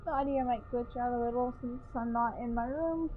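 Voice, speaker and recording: A woman's voice: on the high side, fairly quick, phone-quality sound, slight background noise. A woman streaming a video game from her kitchen on a headset mic, chatting casually and echoing the characters' lines. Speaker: gaming streamer. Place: home kitchen. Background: game music.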